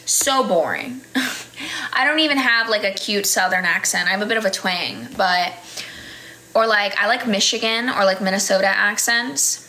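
A woman's voice: mid-range voice, normal speed, pushed and bright. A woman in her twenties with a standard American accent, chatting casually on a livestream, reading viewer comments with frequent laughs and fillers. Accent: General American. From United States. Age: 20s. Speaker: livestream host. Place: small indoor room. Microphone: phone camera close up.

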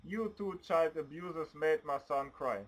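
A man's voice: a little high, moderate pace, close to an everyday voice, poor audio. A man playing a menacing, aggressive fat father who makes threats in an amateur radio play.

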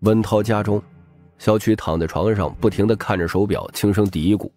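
A man's voice: fairly low pitch, fairly even intonation, moderate pace, a little mellow. A man giving dramatic scripted narration of a crime novel as an audiobook narrator. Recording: music bed behind the voice.

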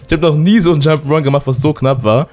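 A hoarse man with a cold, giving excited let's-play commentary and often breaking into loud outbursts. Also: on the high side, fast talker, faint music behind. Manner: excitable, loud outbursts, keeps addressing viewers. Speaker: gaming YouTuber.

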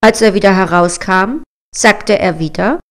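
A woman reads a fairy tale as a storyteller. Her voice sits at a middle pitch, and she takes her time, with a measured, expressive storybook delivery. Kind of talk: scripted fairy-tale narration.

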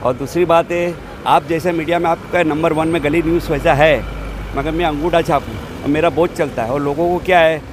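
A man's voice: a little high, fairly even intonation, brisk. An older man, a shopkeeper, defending himself to a reporter on a city street at night, talking in a heated, rambling way into a handheld mic. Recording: noisy street.

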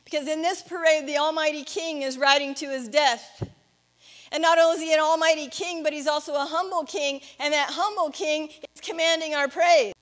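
A woman's voice: high voice, fairly even intonation, moderate pace. A middle-aged woman pastor preaching in a reflective, explanatory way, putting questions to her listeners. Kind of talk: sermon. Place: church stage, indoors.

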